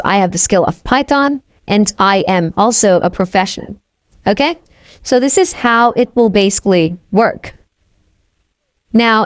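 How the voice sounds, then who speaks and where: A woman walks through code line by line in a screen-recorded lesson, checking in with "okay?" as she goes. Her voice sits in an ordinary middle pitch. The sound is dry and close, with no room echo. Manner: steady step-by-step narration with frequent "okay?" check-ins. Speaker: coding tutorial instructor. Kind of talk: screen-recorded coding walkthrough.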